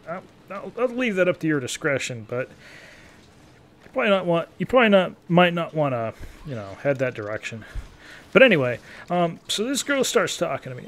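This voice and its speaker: Higher-pitched rambling man over background noise. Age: 30s. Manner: rambling, self-deprecating, digressive. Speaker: gaming video commentator. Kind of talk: casual rambling live gameplay commentary.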